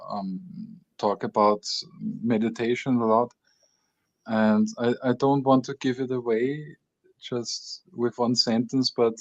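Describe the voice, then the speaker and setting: Hesitant caller from Austria, dull line. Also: male voice, mid-range voice. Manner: hesitant, many fillers, soft-spoken. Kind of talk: casual call-in chat. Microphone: remote call audio. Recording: soft, dull call line.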